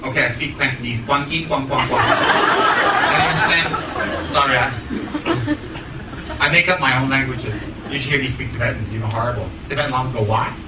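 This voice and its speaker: A man's voice: mid-range voice, fairly even intonation, a loud crowd. American man teaching in an echoey room. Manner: emphatic, deliberate, short repeated phrases. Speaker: Buddhist teacher. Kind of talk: spoken teaching with questions to students. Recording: echoey room, distant mic, clear noise.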